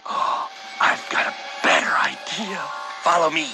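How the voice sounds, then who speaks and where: A woman voicing a bold, reckless superfan hamster in a cartoon fandub, excitable and theatrical. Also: unhurried, natural voice. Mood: eager. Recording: very loud music over amateur dub.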